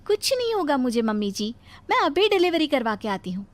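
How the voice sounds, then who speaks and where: A woman's voice: high voice, very animated, a little fast. A woman voicing a self-assured, headstrong young pregnant nurse in a cartoon moral story. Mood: confident, reassuring.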